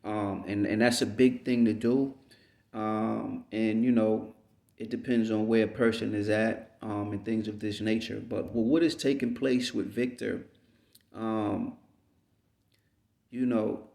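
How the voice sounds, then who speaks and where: A man from the United States giving an unhurried, rambling reflective monologue as a vlogger, often checking in with "you know what I mean.". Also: on the low side, level tone, vocal fry, darkish tone. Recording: roomy echo.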